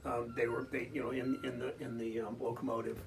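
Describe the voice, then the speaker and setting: A man's voice: mid-range voice, flat delivery, brisk, creaky, echoey room. A man interviewing locals in a chatty conversational way, asking leading questions and often restarting. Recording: distant mic with background noise.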